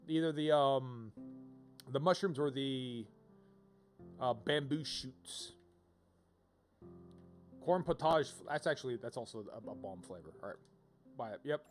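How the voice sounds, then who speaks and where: A man's voice: medium pitch, animated, takes it slowly, long pauses, soft background music. A man casually commentating on a video game as he streams, rambling and thinking aloud as he reads out options.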